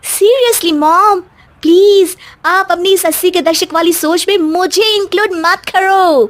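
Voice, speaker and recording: Very high-pitched sassy spoiled young woman played by an actress. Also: slow, dry recording. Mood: dismissive. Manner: sassy, dismissive, drops English phrases into Hindi. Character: spoiled young woman, foreign-returned brash daughter-in-law. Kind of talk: Hindi cartoon dialogue acting.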